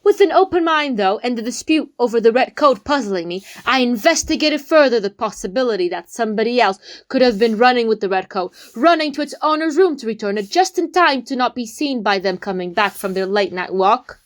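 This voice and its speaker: A woman's voice: on the high side, animated, a little fast, few pauses, close to an everyday voice. A woman playing an earnest young amateur detective, explaining a case theatrically in an audio drama. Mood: dramatic, confident.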